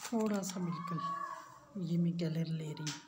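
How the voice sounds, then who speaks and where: A woman casually demonstrating cooking in halting, short phrases, recorded indoors on a handheld phone. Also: deep voice. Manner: halting, short fragmented phrases while working. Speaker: home cook and vlogger. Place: indoors at home. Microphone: handheld phone, held while cooking. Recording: faint noise, homemade phone recording.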